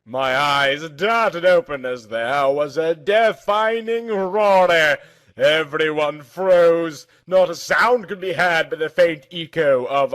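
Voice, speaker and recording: A man's voice: a little high, fairly bright tone. A man reading a first-person story aloud in a theatrical, emphatic narrating style.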